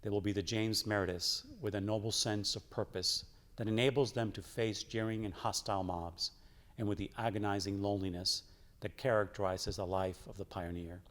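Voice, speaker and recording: Deepish-voiced man in solemn reading. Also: fairly even intonation, moderate pace, a bit bright. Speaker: church member reading aloud. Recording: long echoing reverb.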